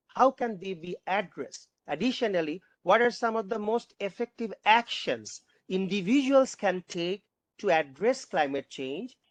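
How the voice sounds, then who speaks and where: A panel moderator reading out audience questions, haltingly, often repeating and rephrasing. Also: medium pitch, no echo.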